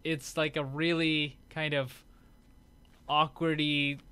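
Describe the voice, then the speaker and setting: A man's voice: a little high, level tone, slow pace, bright, forceful tone, clear, resonant tone. A man giving casual, off-the-cuff commentary as host of a gaming showcase video.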